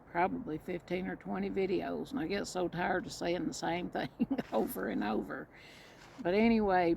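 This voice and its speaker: Middle-aged Southern woman vlogger, gravelly, rambling chatty storyteller, faint outdoor noise. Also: fairly low pitch. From Mississippi and Indiana. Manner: rambling, restarts often, chatty. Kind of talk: casual storytelling to camera. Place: outdoors, storm coming.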